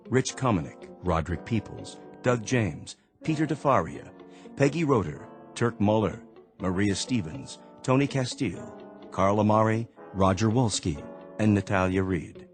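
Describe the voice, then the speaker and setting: American man, radio announcer, scripted credits read, lowish pitch, faint music behind.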